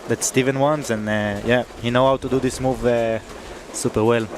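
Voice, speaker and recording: A man giving expert co-commentary in hesitant English, with frequent pauses and grammar slips. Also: medium pitch, steady tone, a little hiss or hum, rough recording.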